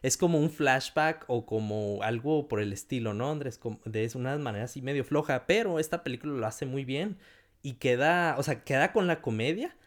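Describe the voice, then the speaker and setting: A man's voice: mid-range voice, brisk. Mexican man chatting about films. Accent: Mexican Spanish. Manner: animated, quotes people in raised voices. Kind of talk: casual film discussion.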